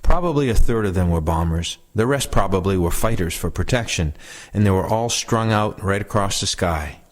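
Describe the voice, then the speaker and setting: A man with a moderately low voice reads recollections aloud. The passages are first-person oral history, delivered as scripted narration. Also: lively intonation, normal speed. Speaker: reader of veterans' recollections.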